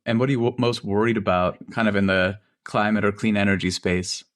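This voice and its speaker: A man's voice: on the low side, steady tone, brisk. A man hosting a casual interview podcast, playful and affirming as he puts questions to his guest. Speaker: podcast host.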